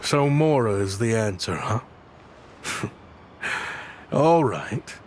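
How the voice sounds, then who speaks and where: A man playing a weary, remorseful protector of children in a video game, speaking in a halting, earnest way. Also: lowish voice, animated, a little hiss or hum. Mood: wry, amazed. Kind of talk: dramatic video game voice acting.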